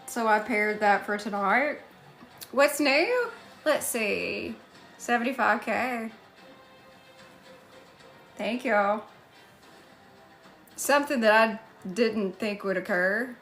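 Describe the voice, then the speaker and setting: A woman's voice: a little high, takes it slowly, long pauses, a bit bright, faint music behind. A woman live streaming, chatting casually and thanking viewers by name as she goes.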